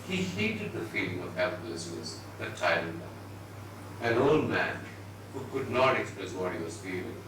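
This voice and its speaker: A man giving a measured, expressive dramatised reading of literary fiction. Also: deep voice. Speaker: reader at a book event. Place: indoor event venue before an audience. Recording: very echoey distant hall, noisy.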